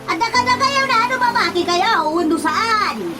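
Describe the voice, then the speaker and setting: Squeaky, fussy Filipino village gossip. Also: female voice, lively intonation, moderate pace, loud music behind the voice. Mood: alarmed, fussy. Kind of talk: Ilocano radio drama comedy acting.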